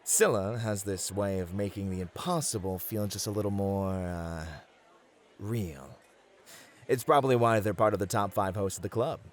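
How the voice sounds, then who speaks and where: A man playing a charming, flirty club host and entertainer in an ASMR roleplay, with a florid, theatrical, flattering manner. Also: lowish voice. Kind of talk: scripted ASMR roleplay, acted. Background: background music.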